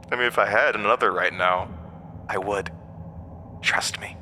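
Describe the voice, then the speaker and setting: A man's voice: fairly low pitch, slow pace, pushed and bright, slight background noise, full character voice. An actor playing a mysterious, mocking, weary undying male entity in a horror audio drama, speaking in theatrical, cryptic asides. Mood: taunting, sly. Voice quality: broken-windpipe voice, borrowed from another man.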